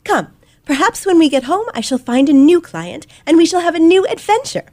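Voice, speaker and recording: A woman's voice: very high-pitched, sing-song, a little fast, darkish tone. A woman playing a self-assured, precocious teenage girl detective in an old-fashioned radio play, poised, smug and formal. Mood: self-satisfied, playful.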